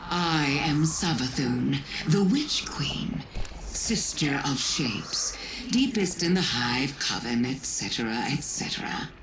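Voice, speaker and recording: An actress voicing a sly, menacing alien witch queen in a video game, breathy, languid and sardonic. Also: rumbling low, animated, takes it slowly, full character voice. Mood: mocking, sly. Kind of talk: video game cutscene dialogue. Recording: echoey, noisy ambience behind.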